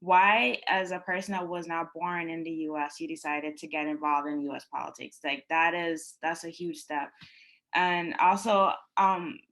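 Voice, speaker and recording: A woman's voice: sits low, steady tone, normal speed, fairly bright tone. A woman from Guyana moderating a panel, enthusiastic and full of hesitant fillers.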